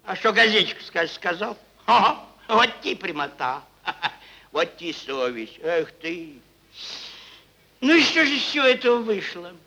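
Bullying merchant boss, high gravelly voice, cynical, rambling, repetitive, theatrical audio drama. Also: male voice, very animated, unhurried, pushed and bright, full character voice. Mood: mocking indignation, then plaintive boasting. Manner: rambling, repeats words, chuckles, mocking. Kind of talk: theatrical stage acting, Russian classic prose.

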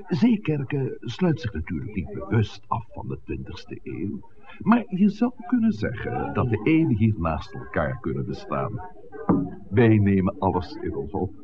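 Deep-voiced, erudite village priest. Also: male voice, huge pitch swings, crowd noise, full character voice. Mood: wry, genial. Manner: measured, articulate, lecturing. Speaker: actor in a radio play. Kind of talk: 1960s Dutch radio drama acting. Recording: muffled, hissy 1960s radio recording.

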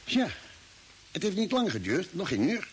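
An actor playing an earnest spaceship doctor in an old radio play, explaining deliberately with dramatic pauses. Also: male voice, high-pitched, huge pitch swings. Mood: calm, caring. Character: earnest spaceship doctor, reasoning out a grim theory. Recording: hissy vintage radio drama recording.

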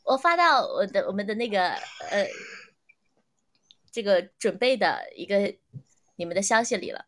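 A woman hosting a casual, lively online game segment, chatting informally with guests and listeners. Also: mid-range voice, moderate pace. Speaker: host of audio drama fan event livestream.

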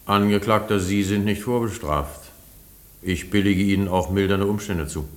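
An actor in a German radio play playing a stern, dry judge with a formal, lecturing manner. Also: male voice, low voice, moderate pace, close to an everyday voice. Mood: dry, measured.

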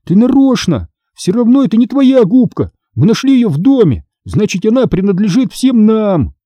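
A man's voice: high-pitched, big pitch swings, normal speed, warm tone, dry recording, voice pushed up. A man voicing a whiny, grabby little brother in a storybook, full of exclamations. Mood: accusing. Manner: whining, exclamatory.

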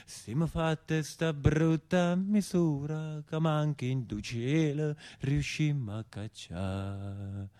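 An actor playing a proud, defiant brigand with a southern Italian accent, delivering a solemn chanted lament on stage. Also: male voice, mid-range voice, dark tone, smooth. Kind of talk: slow chanted theatrical lament.

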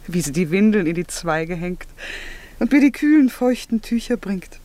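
An actress playing a gentle, anxious young noblewoman and mother in a 1950s German radio play, with a soft, measured delivery. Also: lowish voice, expressive, dry recording, close to an everyday voice. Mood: tender, wondering.

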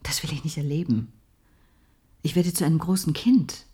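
Breathy, dark-voiced, mournful astronaut woman. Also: low voice, normal speed. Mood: sad resolve. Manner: quiet, mournful, intense. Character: melancholy, resolute young female astronaut losing her memory. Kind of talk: intimate radio play dialogue.

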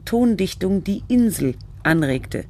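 A woman's voice: mid-range voice, lively intonation, takes it slowly, a little mellow. A woman playing a sober, literary narrator and researcher in scripted documentary-style radio drama. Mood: measured, factual.

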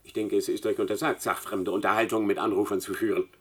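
A man's voice: on the low side, level tone, fast talker, slightly rough. Pompous male professor. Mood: stern, demanding. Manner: pompous, condescending. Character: imperious male mathematics professor and dean, condescending, demanding. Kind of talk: German radio play acting.

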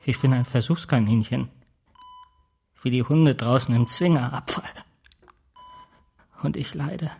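An actor playing a fearful, tormented male patient in a radio drama, husky and halting, with an anguished manner. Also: mid-range voice, warm tone.

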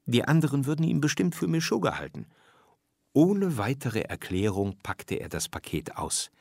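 A man narrating a scripted children's story, reading aloud expressively and with clear phrasing. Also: mid-range voice, very animated, normal speed, darkish tone, no room sound, clean studio sound.

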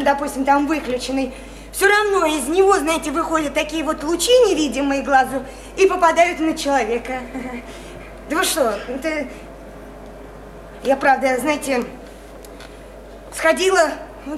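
Radio play: odd provincial girl-woman, high voice, superstitious and earnest, folksy rambling, roomy slightly noisy recording. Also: a bit bright. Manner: rambling, simple folksy speech full of hesitant fillers. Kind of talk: theatrical radio play dialogue.

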